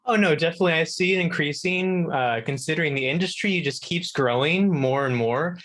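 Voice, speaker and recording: A man's voice: fairly high pitch, brisk, fairly bright tone. A young man chatting casually as a talk show guest, earnest and a little hesitant. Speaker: guest contributor on video games.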